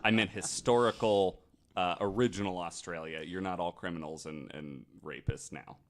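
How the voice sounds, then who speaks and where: A man's voice: sits low, moderate pace. A man chatting casually as a podcast panelist, throwing in wry, joking and sweary remarks. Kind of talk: casual banter.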